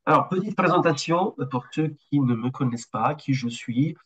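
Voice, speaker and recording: A man in his forties from Italy with an Italian accent, a bit ill, presenting a webinar as a consultant and speaking haltingly with many hesitations. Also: medium pitch, fairly bright tone, husky, highs cut off. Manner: halting, with frequent hesitations and restarts. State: slightly ill.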